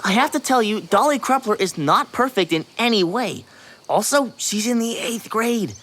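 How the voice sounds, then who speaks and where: Sixth-grade assistant detective, eager, matter-of-fact and chatty, animated delivery, audio drama. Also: female voice, on the high side, normal speed. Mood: exasperated.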